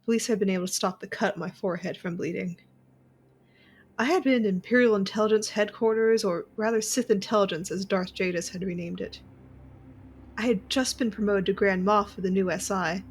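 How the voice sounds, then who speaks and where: A woman's voice: medium pitch, brisk, slightly rough, close to an everyday voice. A woman playing a tough, irreverent young intelligence officer held captive, narrating her story bluntly in an audio drama. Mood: grim, frustrated.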